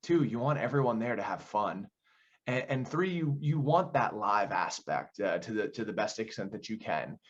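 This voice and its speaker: A man's voice: on the low side, animated, moderate pace. Young American man, dull lo-fi audio. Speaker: community theater director and actor, interview guest. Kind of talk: conversational interview answers.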